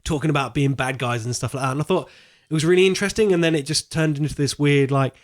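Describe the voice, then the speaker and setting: A man's voice: a little high, fast. A man co-hosting a podcast, chatting casually about films in an informal, rambling way as he thinks aloud. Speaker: podcast co-host. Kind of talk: casual film discussion chat.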